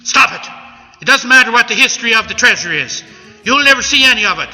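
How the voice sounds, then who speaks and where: Man acting a high-voiced blustering pirate villain. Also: animated, fast, sharp tone, heavily acted. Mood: menacing, blustering. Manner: pompous, melodramatic threats, bumbling. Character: blustering villainous pirate swindler with muddled accent. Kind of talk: comic radio play acting. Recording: echoey event hall, music behind.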